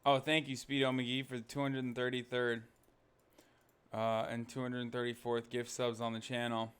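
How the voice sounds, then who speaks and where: A male streamer chats offhandedly and thanks his viewers. His voice has a notably smooth, resonant tone at a middle pitch. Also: completely flat delivery, moderate pace, fairly bright tone. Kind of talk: live-stream chatter.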